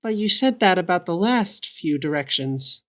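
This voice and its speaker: A woman's voice: lowish voice, animated, moderate pace, a bit bright. A woman playing a wary, caring and easily exasperated male adventurer in an audio drama. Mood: doubtful, wry.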